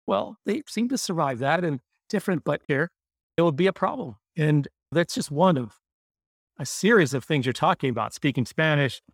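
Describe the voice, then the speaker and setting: A man co-hosting a casual football talk, speaking in a measured, reflective way. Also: a little high, expressive, vocal fry, no echo, clean studio sound. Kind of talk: casual discussion.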